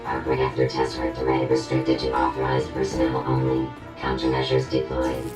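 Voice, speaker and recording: A man's voice: a bass voice, monotone, moderate pace. A man voicing a cold, menacing automated security system making an announcement in a video game. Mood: cold, stern. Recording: very loud music over voice.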